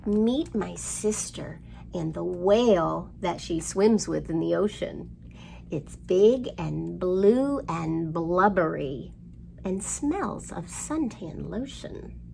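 A middle-aged woman hosting storytime for young children, with an expressive, sing-song delivery, recorded indoors a short way from the microphone. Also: fairly low pitch, slow, gravelly, slight background noise. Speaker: children's library storytime host. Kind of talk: storytime rhymes and picture book reading for young children. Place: indoors in a library room.

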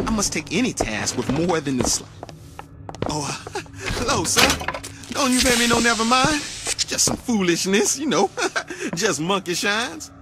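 Flustered, high-voiced servile worker. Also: male voice, big pitch swings, unhurried, loud music behind the voice. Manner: nervous, folksy, deferential. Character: flustered servile man, nervously clowning, apologetic.

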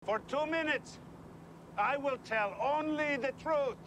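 An actor playing a calm, probing Soviet scientist in a film, speaking in a measured, deliberate way with faint amusement. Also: male voice, very high voice, distant mic, a little hiss or hum, heavily acted, poor audio. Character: sly calm Soviet scientist, middle-aged man, probing. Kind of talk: film dialogue.

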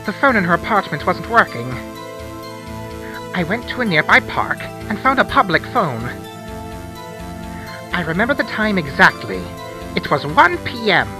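Woman as shifty male witness, lively pitch. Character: shifty, wordy male newspaper salesman testifying as witness. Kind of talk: anime game fan dub, theatrical testimony.

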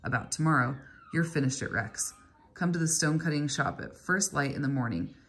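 Woman voicing a gruff bellowing master. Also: low-pitched, animated, a little fast, a bit bright, heavily acted. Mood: curt. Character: gruff ill-tempered grown man, stone-cutter master.

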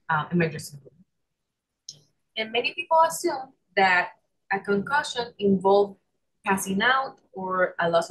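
Husky-voiced Puerto Rican woman lecturing. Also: mid-range voice, fairly bright tone, poor audio. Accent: Puerto Rican Spanish. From Puerto Rico. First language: Spanish. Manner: explanatory, with frequent um and restarts. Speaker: chiropractor presenter. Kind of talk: online presentation lecture. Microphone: video call.

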